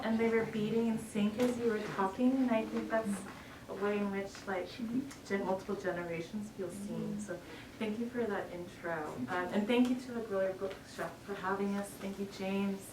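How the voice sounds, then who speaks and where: A woman poet talking casually to introduce her reading, informal, chatty and self-deprecating. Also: a little high. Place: bookshop reading event. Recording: echoey room, distant mic, noisy.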